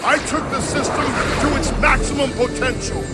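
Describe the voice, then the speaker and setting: A man's voice: extremely high pitch, steady tone, normal speed. A man playing a betrayed, megalomaniacal computer program in a game cutscene, bitter and intensely accusing toward his creator. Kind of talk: dramatic game cutscene acting. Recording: music behind the dialogue.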